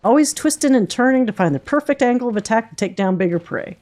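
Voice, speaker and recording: A woman's voice: on the high side, expressive, fast, darkish tone, pitched above the performer's own voice, close to an everyday voice. A woman playing a folksy, confident monster-hunter mentor. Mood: instructive. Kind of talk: reading game dialogue in character.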